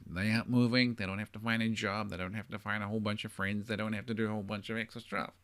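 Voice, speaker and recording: Kiwi guy, middle-aged, talking through relationship advice, fairly low voice, lots of ums and sort ofs. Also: sharp tone. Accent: New Zealand. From New Zealand. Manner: thoughtful, conversational, with frequent hedging fillers. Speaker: podcast host giving relationship advice. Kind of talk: casual solo monologue.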